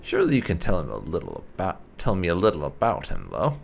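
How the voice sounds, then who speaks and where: A man's voice: low-pitched, wildly swooping pitch, fast, vocal fry, faint music behind. A man giving casual let's play commentary as a gaming host, musing aloud with wry asides and some roleplay.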